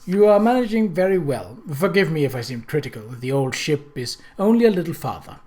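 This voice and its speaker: A man's voice: a little high, sing-song, a little fast, darkish tone. A man voicing a mild, polite and reserved married lord in an audiobook, with a courteous manner.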